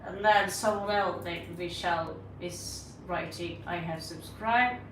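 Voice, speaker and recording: A woman's voice: on the low side, takes it slowly, far from the mic. A woman with a Norwegian accent hosting a tarot livestream, talking casually and bluntly to her chat, with sarcastic asides. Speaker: tarot reader livestream host. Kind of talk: casual live-stream chat answering viewers. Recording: echoey room, mic set back, audible noise.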